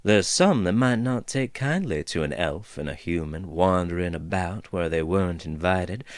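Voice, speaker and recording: A man's voice: sits low, lively intonation, moderate pace, dry recording, studio quality. A man voicing a chatty female dwarf who rambles in a folksy drawl, in a storybook reading. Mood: drawling, amused. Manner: meandering, drawling, folksy turns of phrase.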